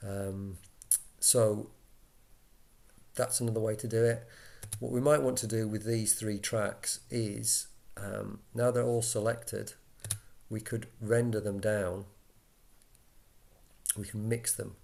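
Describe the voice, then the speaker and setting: A man talking through an informal software tutorial, casual and a little hesitant as he thinks aloud into a laptop microphone. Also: on the low side, little pitch movement, slow, gravelly, pauses often. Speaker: tutorial presenter for radio volunteers. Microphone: built-in laptop microphone. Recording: laptop mic, background noise, key clicks.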